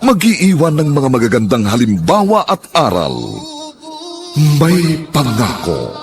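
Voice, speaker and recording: Man, radio drama opening narration, solemn, expressive, soft music behind. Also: medium pitch, slow. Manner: solemn, sweeping announcer delivery. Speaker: show announcer. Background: theme music.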